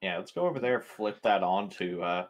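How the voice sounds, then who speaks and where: Animated man playing a tabletop game. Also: sits low, moderate pace. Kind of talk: casual in-character game chat.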